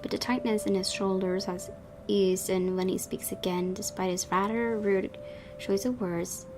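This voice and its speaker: A woman's voice: medium pitch, flat delivery, normal speed. A woman hosting a gaming video, giving casual commentary and reading the story narration aloud. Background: game music.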